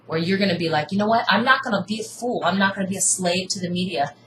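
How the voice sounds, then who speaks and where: A woman's voice: mid-range voice, fast, a bit bright. A woman in a casual debate, talking earnestly and insistently, almost preaching. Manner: earnest, insistent, preachy about seeking truth. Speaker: co-host debating. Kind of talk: casual argument. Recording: echoey room, faint hiss.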